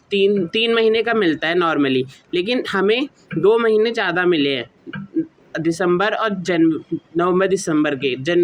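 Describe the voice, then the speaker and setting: A man's voice: sits high, a little hiss or hum. A young man giving informal, explanatory advice to students, mixing in English words and explaining in a rambling, repetitive way. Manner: mixes English words into speech. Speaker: student YouTuber giving exam study advice.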